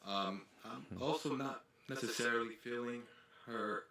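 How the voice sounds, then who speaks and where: A man's voice: mid-range voice, measured pace, bright, forceful tone. A man in his thirties on a dating show, politely and hesitantly giving his opinion in casual chat. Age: 30s. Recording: echoey room.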